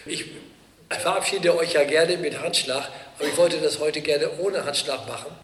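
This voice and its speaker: A male pastor with a cold, giving relaxed, joking closing announcements and then the blessing in church. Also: on the high side, moderate pace. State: has a cold. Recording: reverberant church hall.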